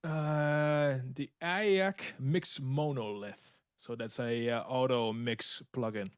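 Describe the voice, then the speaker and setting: Man, halting, lots of uh, middle pitch, dry studio mic. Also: fairly even intonation, clear, resonant tone, dry recording. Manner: halting, many uh fillers. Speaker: guest producer. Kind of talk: casual chat. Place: home studio.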